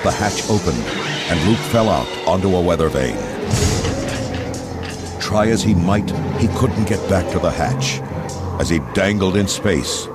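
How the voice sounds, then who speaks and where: Male storybook narrator: low voice, measured dramatic read for kids' adventure, loud score underneath. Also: expressive. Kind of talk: dramatic scripted children's read-along narration. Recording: loud music and effects underneath.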